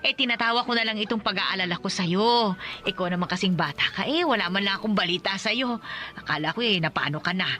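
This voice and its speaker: A woman's voice: medium pitch, quick pace, sharp tone, slight background noise, close to an everyday voice. A woman playing an older, loving rural Filipino mother in a radio drama, fussing and chatty in a Filipino accent. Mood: teasing, fond.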